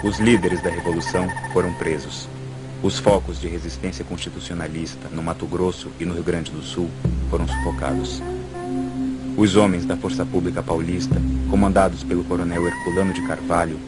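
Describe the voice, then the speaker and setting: Documentary narrator, Brazilian man, very low register, scripted historical read over prominent music. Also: big pitch swings, a little fast. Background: loud background music.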